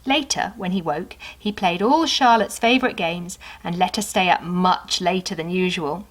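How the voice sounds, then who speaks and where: Expressive woman reading a children's story. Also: lowish voice, poor audio. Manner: expressive, conversational, unhurried. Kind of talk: children's story read aloud with asides to the listener.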